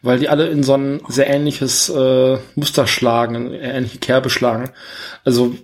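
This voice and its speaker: A man's voice: mid-range voice, little pitch movement, normal speed. Jokey, rambling male podcast host. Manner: rambling, jokey, self-correcting. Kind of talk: casual film review chat.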